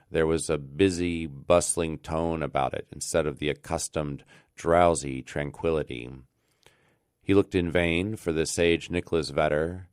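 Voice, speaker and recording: An American man reads a classic tale as a storyteller, in an expressive, deliberate manner with dramatic emphasis. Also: low voice, clean tone, dry recording, studio quality. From United States. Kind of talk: scripted narration of a classic tale.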